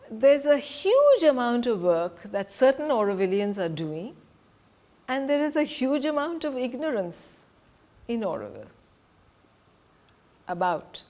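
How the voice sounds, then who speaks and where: A woman's voice: a little high, a little mellow. A middle-aged woman from Punjab, a Hindi speaker, giving an earnest, emphatic talk to a group as presenter and teacher. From Punjab, India. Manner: earnest, emphatic, stresses key words.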